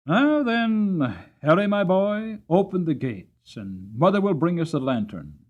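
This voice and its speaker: A hearty, affectionate Victorian cabman, acted out by a male storybook reader. He is a cheerful family father whose pitch moves up and down freely, heard on slightly dull audio. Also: big pitch swings, unhurried, full character voice.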